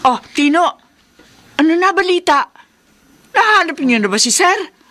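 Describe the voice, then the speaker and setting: A woman's voice: very high-pitched, sing-song, unhurried, pushed and bright, heavily acted. A woman playing a dutiful household helper in a horror radio drama, deferential and reassuring. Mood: concerned.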